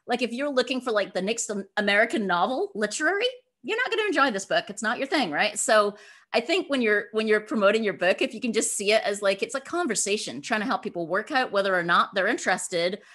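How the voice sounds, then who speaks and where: A middle-aged woman giving conversational interview answers as a guest author, animated and given to acting out quotes. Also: medium pitch, quick pace. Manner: animated, acts out imagined quotes.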